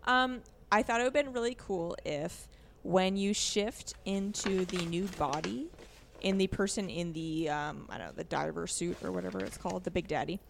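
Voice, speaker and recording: A woman's voice: lowish voice, normal speed, creaky, a little hiss or hum. A woman co-hosting a podcast, casually discussing a video game in an informal, hesitant way as she thinks aloud. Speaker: podcast co-host.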